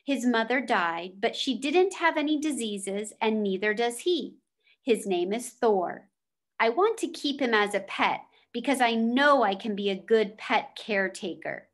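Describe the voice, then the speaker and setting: A woman's voice: fairly high pitch, lively intonation, unhurried. A woman voicing an earnest, literal-minded young schoolboy in a children's storybook, with precise wording. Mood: earnest, hopeful.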